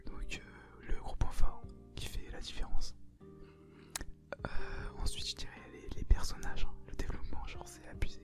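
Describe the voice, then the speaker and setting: A young adult whispers a casual anime review as co-host, in informal youth slang. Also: moderate pace. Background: music.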